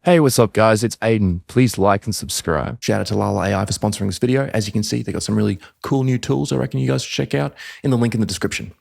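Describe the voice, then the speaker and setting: A synthetic clone of an Australian man's voice, reading a bright promotional message. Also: lowish voice, fast, gravelly, few pauses. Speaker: AI voice clone of the host.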